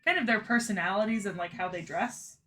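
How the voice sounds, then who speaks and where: Bright, chatty woman co-host. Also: medium pitch, brisk, slight background noise. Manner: chatty, many "like" fillers. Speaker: podcast co-host. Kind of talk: casual anime discussion.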